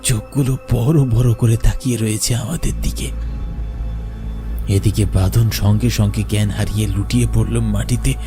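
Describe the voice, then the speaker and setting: A man's voice: lowish voice, steady tone, normal speed. A man narrating a scripted first-person horror story in a dramatic, suspenseful way. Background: faint music.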